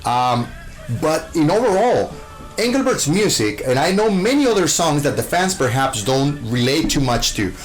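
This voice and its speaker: A man's voice: a little high, sing-song, normal speed, faint music behind, low-quality recording. A man from Costa Rica, a show host, answering interview questions with gushing enthusiasm, full of fillers and restarts.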